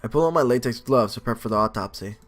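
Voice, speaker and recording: A man's voice: medium pitch, expressive, fast. Male gamer reading aloud over music. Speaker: gaming video host. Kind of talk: reading game text aloud with casual commentary.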